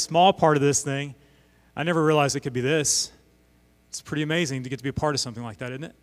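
A middle-aged man from Oklahoma preaching a sermon as a visiting missionary pastor, telling stories in an animated way. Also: medium pitch. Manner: animated storytelling with voiced asides. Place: church sanctuary. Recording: long hall reverb.

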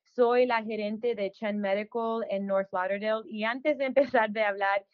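A woman's voice: mid-range voice, steady tone, normal speed, lo-fi sound. A woman in her thirties who grew up in the United States and speaks with an American English accent. She is a clinic manager giving an informational talk to residents, hesitant, with frequent fillers, and she slips English words into her Spanish.

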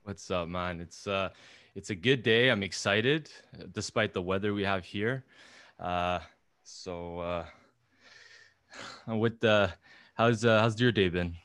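A man's voice: lowish voice, slow pace, pauses often, fairly bright tone, clear, resonant tone, no echo, clean studio sound. A young man co-hosting a podcast, talking casually and meandering with many restarts. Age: young adult. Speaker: podcast co-host.

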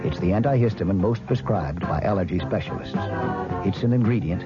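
A man's voice: low-pitched, a little fast, loud music behind the voice. A man reading a 1970s radio advertisement in an assured, matter-of-fact announcer's manner. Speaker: commercial announcer. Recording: dull 1970s radio broadcast recording.

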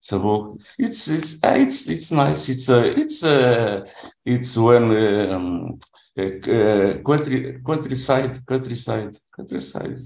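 A man in his seventies from Portugal, speaking English with a Portuguese accent, introducing himself in class in a halting way with many restarts. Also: mid-range voice, big pitch swings, creaky, darkish tone. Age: 70s. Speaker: language student. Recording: roomy echo.

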